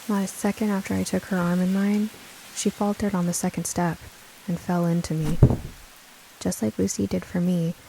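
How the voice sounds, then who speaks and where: A woman narrating a scripted first-person audio drama in an expressive, deliberate storytelling manner. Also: on the low side, gravelly, a little hiss or hum, rough recording.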